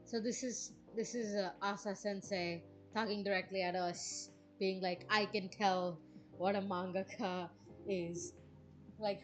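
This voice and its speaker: A woman's voice: mid-range voice, low-quality recording. A woman streamer doing a casual, slangy read-along, reading dialogue aloud and reacting with informal asides. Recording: music playing behind the voice. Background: background music.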